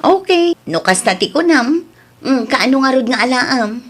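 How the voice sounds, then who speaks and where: A lively, teasing female neighbour, performed by an actress with a Filipino Ilocano accent. Her somewhat high voice swings animatedly, in radio comedy drama style. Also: moderate pace. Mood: teasing, surprised. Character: woman neighbour selling goods, teasing and lively.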